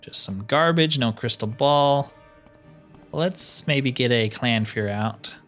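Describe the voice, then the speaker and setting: A man's voice: mid-range voice, takes it slowly, fairly bright tone. Male gaming host, mid-range voice, wry and casual, thinking aloud over music. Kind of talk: casual solo video game playthrough commentary. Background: game music.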